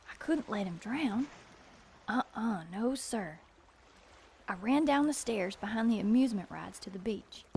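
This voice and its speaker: An actress playing a plucky, anxious, determined woman, narrating an audio drama in an urgent, expressive way. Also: a little high, a little hiss or hum.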